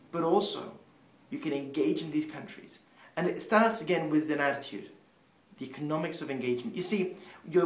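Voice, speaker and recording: A man raised in Peru, Spanish his first tongue, preaches with earnest, building emphasis that sinks back to low, quiet ends. His voice sits a little high. The room around him rings with echo. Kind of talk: sermon-style teaching.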